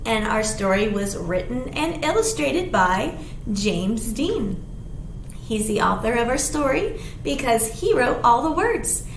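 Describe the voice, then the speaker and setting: A middle-aged woman reading aloud as a children's storytime librarian, with an expressive, sing-song delivery and simple questions to the children. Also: on the high side, slow pace. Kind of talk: animated read-aloud to young children. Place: small indoor room. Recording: roomy echo, faint background noise.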